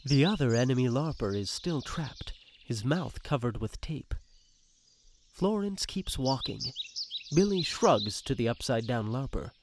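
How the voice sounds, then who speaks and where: A man's voice: medium pitch, expressive, measured pace, background noise. A man narrating an audio drama in a scripted, dramatic present-tense style. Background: music.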